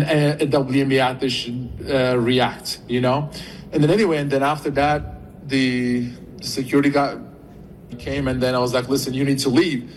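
A man with a Turkish accent tells what happened, haltingly, circling back and restarting his sentences. His pitch sits in the middle. A faint hum of ambience lies under his voice. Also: rough recording. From Turkey. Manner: halting, recounting with many restarts. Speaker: interviewee in a played clip.

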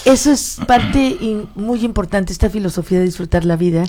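A woman's voice: medium pitch, a little fast, mellow, slight background noise. A woman hosting a casual interview, chatty and affirming, circling her way into questions. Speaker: interviewer, show host.

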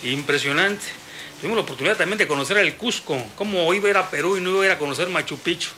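A man's voice: sits high, rapid-fire, bright, forceful tone, slight background noise. A man hosting a sports radio interview, chatty and rambling with many fillers.

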